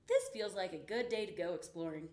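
An eager, friendly penguin adventurer from a picture book, read by a woman, excitable and very lively in pitch. The room gives the voice some echo. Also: a little fast. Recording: roomy, echoing indoor sound.

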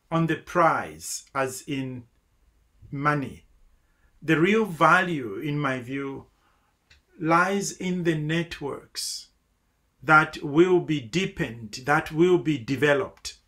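Slow, earnest man from Zambia. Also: on the high side, expressive, bright, forceful tone. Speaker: webinar speaker. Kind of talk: reflective closing remarks. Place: home office with bookshelves. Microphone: video call.

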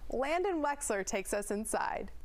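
A woman in her thirties, a TV host, reading a measured studio intro. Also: fairly high pitch, lively intonation, noisy background.

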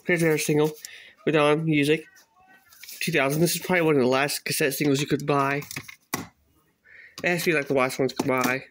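A man chatting casually in a home video, halting and repeating himself as he shows off a collection. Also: a little high. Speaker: cassette collector showing a collection. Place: small bedroom, at a closet, indoors. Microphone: handheld phone camera, speaker behind it. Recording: noisy handheld home recording, clicks.

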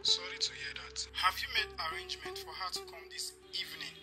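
A male actor plays an earnest drama teacher in a screen drama. His voice sits a little high and his manner is courteous and formal. Music is loud behind him. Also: fairly quick, natural voice. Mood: polite concern. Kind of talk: naturalistic screen drama acting.

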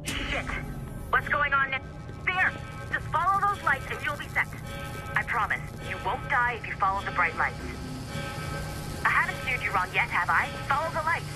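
A woman voicing a chirpy, teasing young guide, informal and excitable, in a video game cutscene. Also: high-pitched, moderate pace, long pauses, over music, low-quality recording. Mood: urgent, teasing.

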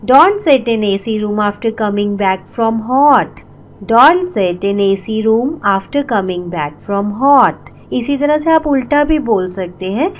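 Slow, repeating Indian teacher woman. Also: a little high, animated. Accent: Indian English. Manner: repeats each English sentence slowly twice. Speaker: English teacher. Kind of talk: slow bilingual language lesson.

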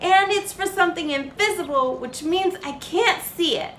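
A woman voicing a sweet, earnest seven-year-old granddaughter in a storybook, expressive and eager. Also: very high voice, normal speed, echoey room, a little hiss or hum, voice pushed up. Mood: curious.

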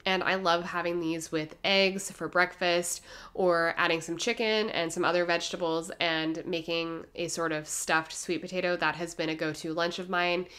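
A young woman giving a gentle, measured voice-over as she explains her home cooking step by step. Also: lowish voice, fairly even intonation, clean tone. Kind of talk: calm instructional voice-over.

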